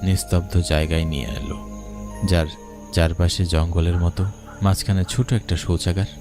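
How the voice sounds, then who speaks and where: A man's voice: low-pitched, flat delivery, normal speed, music in the background. A man narrating a scripted first-person horror story in a dramatic, suspenseful manner.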